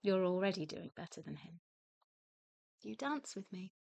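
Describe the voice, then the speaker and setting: An actress playing a weary, wounded wife in a radio play, candid and sardonic, hesitant at times and then emphatic. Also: female voice, mid-range voice, animated, moderate pace, vocal fry, darkish tone, no echo. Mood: uneasy, guarded.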